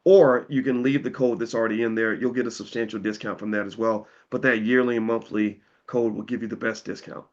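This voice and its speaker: Slightly hoarse man with plain-spoken pitch. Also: steady tone, fairly quick, hardly any pauses, muffled recording. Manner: plain-spoken, repeats words and restarts, persuasive. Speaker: host pitching an investing course. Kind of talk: casual sales pitch to viewers.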